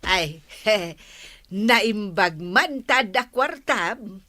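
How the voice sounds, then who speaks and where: A woman acts out a nosy village gossip from an Ilocano comedy radio play. The voice is bright and forceful with an Ilocano accent, full of indignant exclamations. The sound is dry, with very little room around it. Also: mid-range voice, expressive, very slow, dry recording, heavily acted. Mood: gossipy.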